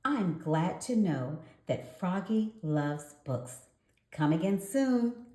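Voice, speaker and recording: A woman voicing a polite, prim female otter librarian in a children's storybook, gently and sweetly correcting. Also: lowish voice, expressive, slow pace. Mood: kindly. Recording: echoey room.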